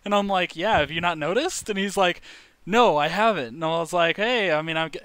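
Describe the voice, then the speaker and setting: A young man casually telling a funny story to his viewers, animated, acting out the lines he quotes. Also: high-pitched, brisk, clean tone, dry recording. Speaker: YouTube host.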